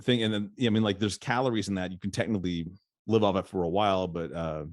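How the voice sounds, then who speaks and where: A man's voice: deep voice, steady tone, quick pace, no echo, studio quality. A man hosting a podcast, talking in a loose, rambling way and laughing often. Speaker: podcast host. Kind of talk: casual interview chat.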